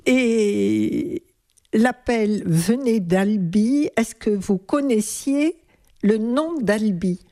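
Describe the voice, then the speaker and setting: A woman interviewer gently prompting her guest with questions. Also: medium pitch, expressive, drawn-out words. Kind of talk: radio interview.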